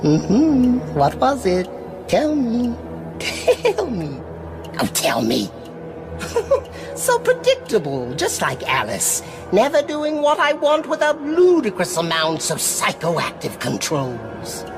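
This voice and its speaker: A woman's voice: a little high, wildly swooping pitch, slow pace, full character voice. A woman voicing a deranged, whimsical hypnotist villain with a creepily possessive, singsong, condescending manner. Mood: creepily playful. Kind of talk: villain character acting. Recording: background music.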